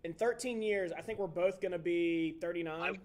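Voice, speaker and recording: High-voiced young man chatting sports. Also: normal speed. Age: 20s. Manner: relaxed, chatty, asks questions. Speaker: podcast co-host. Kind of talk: casual sports chat.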